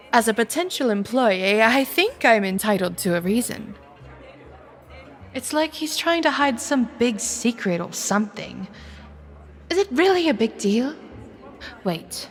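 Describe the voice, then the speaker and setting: A woman playing a sardonic, wary young woman short of money in an audio drama, with wry, expressive inner monologue. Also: on the high side, in a large hall. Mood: suspicious. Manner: wry, expressive, with sighing interjections. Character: young cash-strapped woman, sardonic, wary, inwardly flustered. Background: music.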